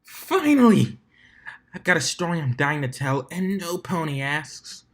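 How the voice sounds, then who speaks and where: A brash pegasus mare of a stunt flyer, played by a man reading fan fiction. The voice sits a little higher and gossips in excitable, slangy bursts, like a storyteller who cannot wait to share. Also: measured pace, exaggerated voice. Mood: eager, exasperated.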